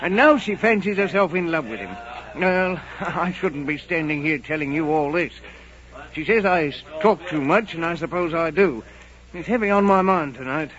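A male actor plays a talkative, worrying innkeeper and father. His voice sits a little high, and he frets in old radio drama style. The recording is dull and dated. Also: lively intonation, normal speed, crowd noise, close to an everyday voice. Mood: chatty, exasperated. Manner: fretful, chatty. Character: worried, talkative innkeeper, father of a headstrong daughter. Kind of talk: 1940s radio drama acting.

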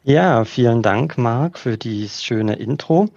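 A man from Berlin, guest on a podcast, explaining his career in a measured way with filler sounds. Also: mid-range voice, lively intonation, gravelly, darkish tone, no room sound. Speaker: guest, organizational developer. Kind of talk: casual interview. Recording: dull lo-fi dry sound.

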